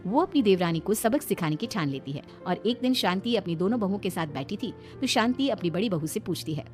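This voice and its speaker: A woman's voice: mid-range voice, expressive, fairly quick, darkish tone. Female storyteller for scripted cartoon narration, mid-range voice, light music bed. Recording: faint music behind the voice. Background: background music.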